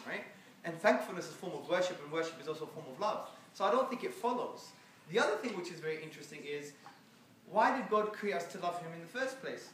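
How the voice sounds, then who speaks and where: A man talking high and earnest at a live Q&A. He is heard from across a very echoey room, caught on a phone in the audience, with faint room noise behind him. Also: fairly bright tone, far from the mic. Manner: earnest, playful with jokes. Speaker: guest speaker answering questions. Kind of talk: live Q&A talk. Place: lecture room. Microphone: phone recording from the audience.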